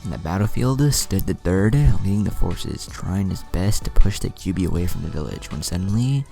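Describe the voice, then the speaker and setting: Male narrator, very low pitch, animated range, dark tone, scripted dramatic storytelling, faint music behind. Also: moderate pace, creaky.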